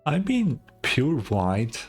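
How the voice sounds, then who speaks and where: Streamer chatting over a game, slow and a bit raspy, thinking out loud, music in back. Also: mid-range voice, huge pitch swings. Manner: rambling, musing aloud. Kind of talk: casual game streaming commentary. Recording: game music behind the commentary.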